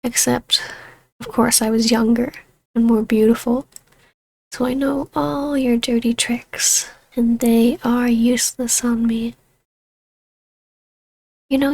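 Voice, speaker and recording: An imperious vampire baroness, played by a woman in an ASMR fantasy roleplay. Her voice sits fairly high and keeps a very even, controlled line, taunting in a measured hush that slips into whispers. The sound is completely dry, with no room around it. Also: dry recording. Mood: mocking, amused. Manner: slow, hushed, breathy delivery slipping into whispers. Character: vampire baroness, ancient huntress turned ruler, taunting, imperious, regal. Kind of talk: scripted ASMR audio roleplay.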